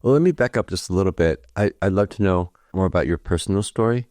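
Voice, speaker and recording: Man, podcast host, very low pitch, affirming, probing questions. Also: fast talker, a little mellow, dry recording, studio quality. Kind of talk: interview.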